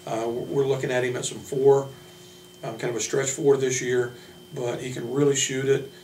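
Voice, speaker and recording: Even-toned male coach, distant and echoey. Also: medium pitch. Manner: halting, plain-spoken coach talk. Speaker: college basketball head coach. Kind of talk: unscripted team roster introduction. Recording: roomy, distant mic, noisy hum.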